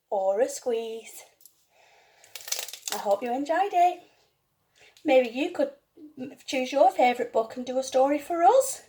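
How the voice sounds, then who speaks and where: Expressive, high-voiced woman reading stories. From England. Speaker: primary school storyteller. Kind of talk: expressive children's storybook reading.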